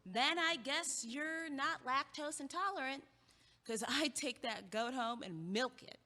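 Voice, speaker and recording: A sharp-tongued young student, acted by a woman in an audio drama, speaks with lively swings and a bright, forceful edge. She is sardonic, logical and emphatic. Her voice rings in long hallway reverb. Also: high voice, measured pace, full character voice. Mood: argumentative, confident. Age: young adult. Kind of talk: audio play acting.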